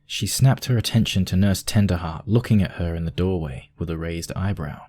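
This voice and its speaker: A man's voice: low voice, a little fast, a little mellow, slightly rough. A man narrating a scripted piece of fiction as a storyteller.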